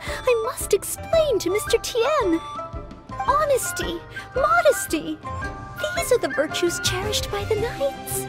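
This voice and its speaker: A woman's voice: extremely high pitch, sing-song, slow, heavily acted. A woman voicing an earnest, modest young maid knight in a game, polite and a little hesitant. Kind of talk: video game character acting. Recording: background music under voice.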